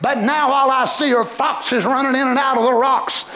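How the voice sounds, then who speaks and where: An older man preaching a fervent sermon, breaking into shouted bursts and cries of hallelujah. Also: very high voice, steady tone, moderate pace.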